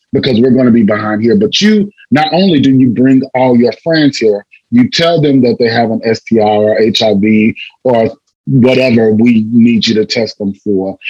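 A man with a raspy voice, a guest telling his story in a candid, loose conversational way with wry asides. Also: mid-range voice, a little mellow, no echo, lo-fi sound. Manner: loose, filler-heavy, wry laughs.

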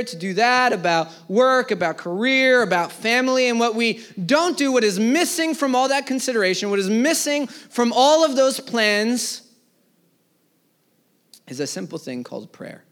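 High-voiced man in his thirties preaching. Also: lively intonation, normal speed. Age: 30s. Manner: preaching with swelling emphasis that sinks to quiet phrase endings. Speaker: pastor. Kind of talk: sermon. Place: church service before a live congregation.

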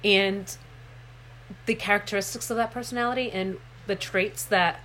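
A woman's voice: mid-range voice, fairly even intonation, moderate pace, fairly bright tone, a little hiss or hum, low-quality recording. Bubbly woman with a Rhode Island accent. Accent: Rhode Island with Portuguese influence. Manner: bubbly, interjecting, laughs often. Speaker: podcast co-host. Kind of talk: casual chat.

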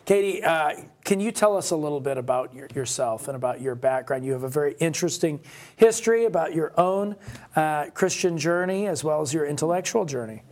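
A man hosting a conversational podcast, speaking in halting phrases and often rerouting his sentences. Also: fairly high pitch, animated, darkish tone. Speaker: podcast co-host, professor.

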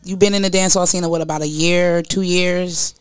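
A woman's voice: on the low side, steady tone, brisk, clear, resonant tone, dry recording, faint music behind. A woman hosting a casual live interview, chatty and teasing, often repeating herself. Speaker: host.

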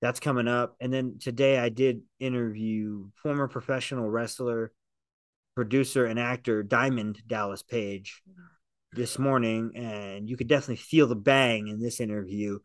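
A man's voice: mid-range voice, steady tone, moderate pace, no echo. Enthusiastic, profane man hosting a podcast. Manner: enthusiastic, profane, rambling with restarts. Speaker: podcast host, film reviewer. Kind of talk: casual chat.

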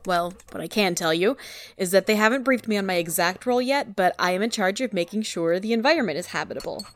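An actress playing a caring, earnest young daughter who works as a space mission scientist in an audio drama. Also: female voice, medium pitch, fast, lightly acted. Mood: earnest, light. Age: young adult.

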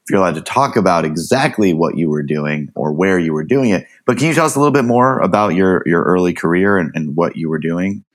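A man's voice: deep voice, animated, brisk, few pauses. A man co-hosting a podcast, asking casual, eager questions of his guest. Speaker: podcast co-host.

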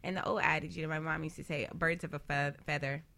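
A woman's voice: deep voice, flat delivery, fast talker, vocal fry, pushed and bright. A woman speaking as a financial advisor on a panel talk, with an emphatic coaching manner that repeats key points. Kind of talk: lively panel talk on money habits.

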